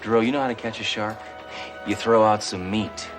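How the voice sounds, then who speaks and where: An actor playing a tough, wisecracking male police detective who talks in a gruff, sarcastic, deadpan way. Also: lowish voice, brisk, music in the background, lightly acted. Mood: sardonic.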